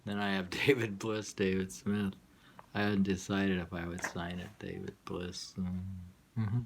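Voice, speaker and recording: Low-voiced man musing through poetry, noisy recording. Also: flat delivery, vocal fry. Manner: halting, musing, hums to himself between lines. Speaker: poet reading own poems. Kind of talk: poetry reading with muttered asides.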